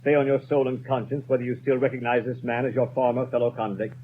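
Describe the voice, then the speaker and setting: An actor playing a presiding judge who addresses the court in a firm, formal manner in a 1930s radio drama. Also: male voice, medium pitch, flat delivery, quick pace, natural voice. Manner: formal, commanding. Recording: dull lo-fi 1930s radio broadcast, faint hiss.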